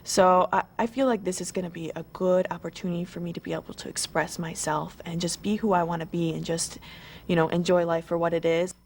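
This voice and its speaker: Chatty twenty-year-old woman, low voice. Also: steady tone, brisk, vocal fry, darkish tone, slight background noise. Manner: chatty, eager, many fillers and restarts. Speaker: model contestant. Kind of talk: pre-show interview.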